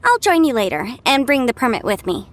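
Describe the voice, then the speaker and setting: A woman's voice: on the high side, sing-song, fairly quick, a little hiss or hum. A woman playing a helpful, upbeat young professional in an anime game. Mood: brisk, friendly.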